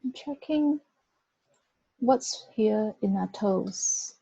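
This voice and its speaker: A woman guiding a body scan meditation, giving gentle, unhurried instructions with long pauses. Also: mid-range voice, animated, vocal fry, slightly rough, no room sound. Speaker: meditation guide. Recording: dull, muffled lo-fi recording.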